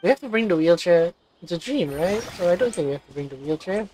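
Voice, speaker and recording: A woman's voice: on the low side, lively intonation, moderate pace, warm tone, soft background music. A woman giving casual commentary as she plays through a game story and reads some lines aloud. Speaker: game streamer reacting to a story quest.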